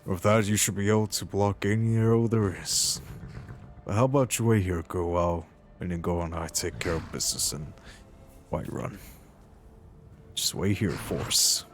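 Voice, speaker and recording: A man voicing an earnest, wistful mercenary adventurer in his thirties for a fantasy game roleplay, in a halting, reflective way. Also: deep voice, fairly even intonation, a little hiss or hum, close to an everyday voice. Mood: friendly. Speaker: role-playing gamer voicing his character. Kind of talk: in-character fantasy game monologue.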